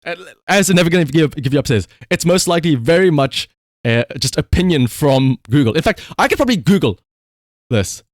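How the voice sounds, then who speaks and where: A man's voice: on the high side, expressive, brisk, dry recording, clean studio sound. A man giving casual livestream commentary in a rambling, conversational, emphatic way, as the host talking to viewers.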